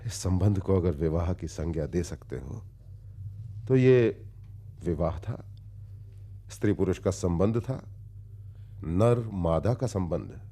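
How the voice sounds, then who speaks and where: An actor playing a world-weary, philosophical wandering man who recounts his past in formal, deliberate radio-drama storytelling with long pauses. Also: sits low, expressive, dark tone, full character voice. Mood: reflective, resigned.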